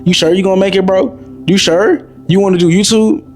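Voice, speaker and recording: A man's voice: high voice, steady tone, brisk, a little mellow, faint music behind. A man in his twenties giving a preachy, repetitive motivational monologue, calling the listener bro. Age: 20s. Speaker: motivational vlogger.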